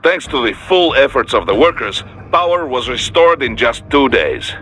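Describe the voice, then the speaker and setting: An actor playing a seasoned, pragmatic Russian security operations chief in a video game, with a Russian accent and a measured, dry briefing manner. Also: male voice, medium pitch, very animated, music in the background, close to an everyday voice. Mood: matter-of-fact, measured. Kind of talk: video game radio dialogue acting.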